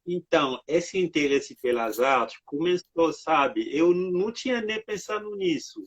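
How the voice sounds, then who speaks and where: A man from the Democratic Republic of the Congo answering interview questions over a video call, halting, with many filler words. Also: fairly high pitch, animated, no room sound. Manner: halting, frequent "né" and "sabe" fillers. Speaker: artist and guest. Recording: dry lo-fi video call audio.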